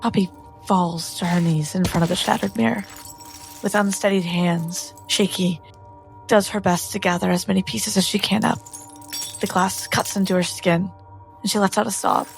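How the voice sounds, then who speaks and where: A woman's voice: medium pitch, fairly even intonation, normal speed, gravelly, low-quality recording. A woman player in a tabletop roleplay, dramatically narrating her character's actions in the third person. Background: music.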